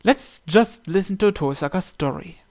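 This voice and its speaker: A man's voice: sits high, lively intonation, normal speed, creaky, a little mellow. A man reading a visual novel script aloud as narration for his gaming series.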